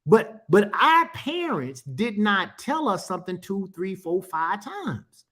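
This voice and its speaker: A man's voice: high-pitched, sing-song, takes it slowly, bright, forceful tone. A man, a pastor giving a teaching talk, repeating phrases for emphasis and calling out to his listeners. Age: 50s. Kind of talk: teaching talk to an online audience.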